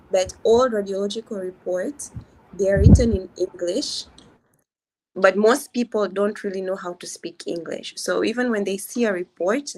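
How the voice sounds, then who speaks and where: A woman from Tanzania with a Tanzanian accent, a doctor explaining her app on a video call, often restarting words and slowing on key points. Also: medium pitch, poor audio. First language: Swahili. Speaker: doctor pitching a health app. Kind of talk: video call mentoring session.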